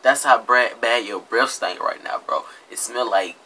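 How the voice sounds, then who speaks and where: A man's voice: mid-range voice, unhurried, bright, forceful tone, slight background noise. A young American man giving casual, slangy comedic commentary, mocking and exasperated, often repeating himself. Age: young adult. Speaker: reaction video host. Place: indoor room with green screen.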